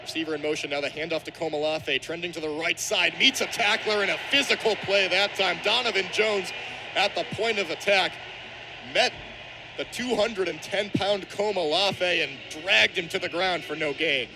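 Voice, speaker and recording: A man's voice: high voice, moderate pace, gravelly. A young man doing live football play-by-play on the radio as the main commentator. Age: young adult. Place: outdoor football stadium broadcast. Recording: stadium broadcast with loud crowd-like ambient noise.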